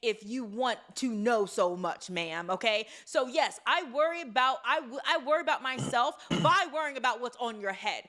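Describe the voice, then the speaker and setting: A woman with a bright, sharp voice that sits a touch high delivers a pointed opinion monologue. She lectures emphatically and speaks straight to her listener. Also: lively intonation, moderate pace, few pauses. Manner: emphatic, lecturing, direct address. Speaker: social commentator in a played clip.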